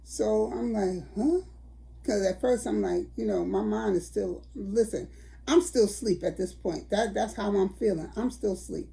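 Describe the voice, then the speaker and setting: An older woman tells a story to her viewers in a chatty, casual way. Her voice is raspy and gravelly, and it sits dark and mellow in tone. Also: mid-range voice, normal speed. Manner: chatty, addresses viewers as y'all. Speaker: vlogger.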